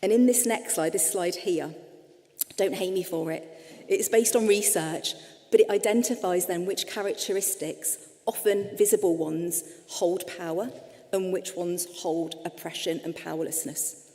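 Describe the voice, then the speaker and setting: A woman's voice: on the low side, unhurried, warm tone. A middle-aged woman with an English accent giving an earnest, explanatory presentation as a clinician, speaking directly to her audience. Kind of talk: lecture-style presentation with slides. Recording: long hall reverb.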